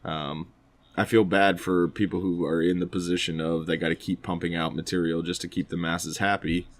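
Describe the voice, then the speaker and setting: A man's voice: low voice, level tone, moderate pace. A man co-hosting a casual podcast, talking in a rambling, informal way. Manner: rambling, informal, mild swearing. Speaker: podcast co-host.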